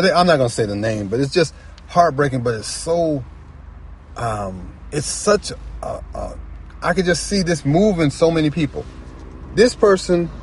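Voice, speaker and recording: A man talking casually and reflectively as a guest storyteller, earnest and halting, often repeating phrases for emphasis. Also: mid-range voice, slight background noise. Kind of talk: casual, reflective personal talk.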